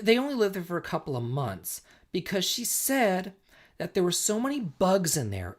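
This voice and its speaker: Tipsy man rambling, lively pitch. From United States. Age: 40s. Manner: rambling, repeats himself, reads chat aloud. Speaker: livestream host. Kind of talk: casual livestream chat and storytelling.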